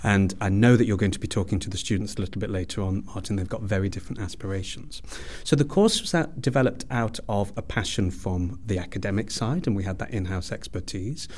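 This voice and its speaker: A man's voice: on the low side, fairly quick, a little mellow. A man speaking as an academic guest in an interview, giving explanatory answers. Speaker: university head of department, interview guest.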